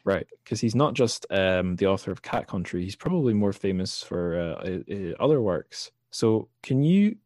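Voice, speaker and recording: A man's voice: low voice, very animated, moderate pace, hardly any pauses, darkish tone, no echo. A man hosting a podcast in a casual, bookish discussion, somewhat hesitant as he thinks aloud. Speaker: podcast host.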